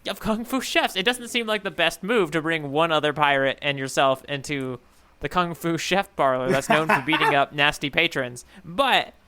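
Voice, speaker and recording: A man's voice: on the high side, moderate pace, pushed and bright, clear, resonant tone. A man co-hosting a podcast in casual chat, thinking aloud as he goes. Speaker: podcast co-host. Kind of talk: casual chat, manga readalong discussion.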